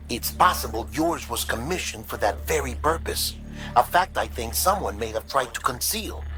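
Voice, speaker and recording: A man playing an earnest, secretive colleague who gives a measured, confiding briefing over comms in a cinematic video game. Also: medium pitch, bright, forceful tone, low-quality recording. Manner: measured, confiding, hesitant explanatory delivery.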